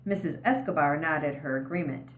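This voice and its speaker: A woman narrating a children's chapter book aloud in an expressive storybook reading style. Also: deep voice, normal speed. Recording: echoey room, slightly muffled sound.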